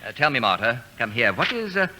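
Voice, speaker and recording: An actor playing a pompous, fastidious man who orders students around in formal, precise diction, in 1940s radio comedy style. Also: medium pitch, sing-song, natural voice. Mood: imperious. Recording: noisy vintage radio broadcast with clicks.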